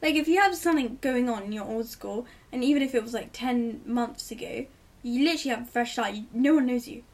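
Teen girl guest, giggly and rambling, higher voice, slightly distant pickup. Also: a little fast. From Italy. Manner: chatty, giggly, rambling advice. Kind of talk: casual chat.

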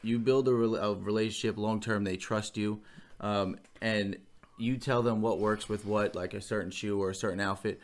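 Agreeable nasal young man, lowish voice. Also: fairly even intonation, moderate pace. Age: young adult. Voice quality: a little nasal. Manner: agreeable, chimes in with "absolutely". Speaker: podcast co-host, store manager. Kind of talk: casual podcast chat.

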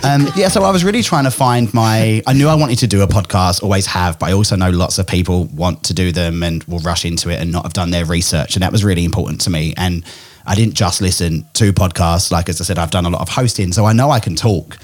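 A man in his thirties from England with a mixed English and Australian accent, chatting casually and joking at his own expense in a podcast interview at home. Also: deep voice. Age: 30s. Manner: chatty, self-deprecating, quick to joke. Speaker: event host and podcaster.

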